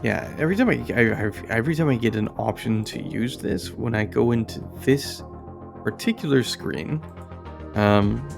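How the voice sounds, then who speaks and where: A man hosting casual, unscripted game commentary, halting and rambling as he searches for words. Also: mid-range voice, creaky, over music. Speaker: host of an indie game review channel.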